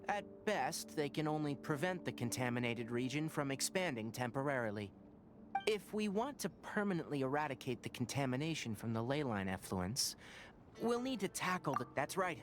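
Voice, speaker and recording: A young man voicing a precise, knowledgeable forest ranger scholar in a video game, explaining things in a calm, lecturing way. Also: fairly high pitch. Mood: serious. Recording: game dialogue over orchestral score. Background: orchestral music.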